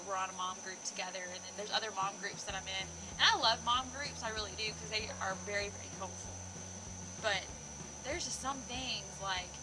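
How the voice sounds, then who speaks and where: A woman's voice: medium pitch, noisy background. A woman in her twenties giving a casual, opinionated rant in a blunt, emphatic way, seated indoors a few feet from the camera. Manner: blunt, emphatic, frequent swearing. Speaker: host of a parenting discussion vlog. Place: indoor room, seated in a group.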